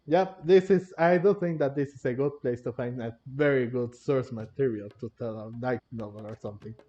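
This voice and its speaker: A man's voice: mid-range voice, brisk, dark tone. A man giving casual, rambling commentary over a game playthrough, repeating himself as he goes. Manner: rambling, repetitive, chuckles between phrases. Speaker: gaming streamer. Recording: dull muffled mic, faint game music.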